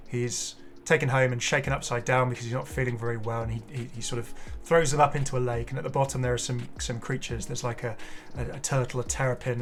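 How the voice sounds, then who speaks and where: Male gaming video host, casual and unscripted, halting and rambling, mid-pitched, faint music underneath. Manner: hesitant, rambling, apologetic asides. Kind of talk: casual off-the-cuff commentary.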